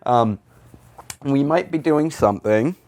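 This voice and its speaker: A man's voice: medium pitch, unhurried. A man hosting a comedic podcast in loose, casual chat, rambling and swearing freely with self-deprecating humour. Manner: rambling, profane, self-deprecating, animated when quoting. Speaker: podcast host.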